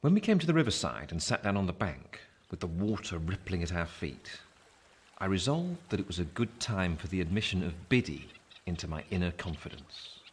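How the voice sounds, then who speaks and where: An actor playing a reflective gentleman who narrates his youth in a literary audio drama, in a low, husky, measured voice. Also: very animated, a little hiss or hum.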